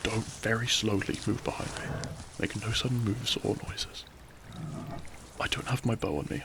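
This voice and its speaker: A man playing a cheeky, tender and protective young bandit outlaw in an intimate romantic audio roleplay, speaking in a gentle, courtly, teasing way. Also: medium pitch, vocal fry, noisy background. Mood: tense, hushed caution.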